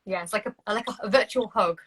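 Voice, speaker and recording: A woman from Yorkshire with a mix of Yorkshire and London in her accent, a PR business owner chatting as a guest on a livestream in a friendly, relaxed way. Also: medium pitch, fast, slightly rough. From Yorkshire, England. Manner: friendly and relaxed, says "like" a lot. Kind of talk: casual video chat.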